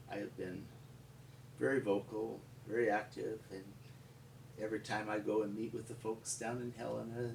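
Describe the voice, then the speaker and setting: An American man telling stories in an unscripted, conversational way, with a rambling, halting delivery. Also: lowish voice, vocal fry, darkish tone. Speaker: paleontologist and museum founder telling stories. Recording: echoey, distant mic with background noise.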